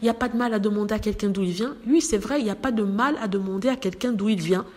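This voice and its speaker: A woman's voice: mid-range voice, fast, a little mellow. A woman vlogger talking straight to her viewers in casual commentary, indignant and emphatic.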